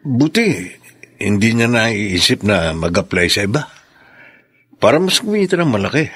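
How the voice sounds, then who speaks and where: Caring husband, radio drama: mid-pitched, animated, gently inquisitive, dry studio sound. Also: male voice, moderate pace, husky, no room sound, exaggerated voice. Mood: curious, concerned. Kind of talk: Filipino radio drama acting.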